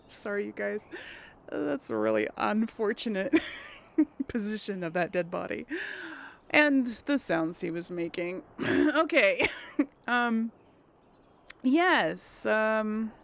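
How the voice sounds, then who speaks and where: A woman plays through a role-playing game and comments casually. Her speech is slow and hesitant, with a lot of vocal fry and animated swings in intonation. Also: mid-range voice. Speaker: gaming video host.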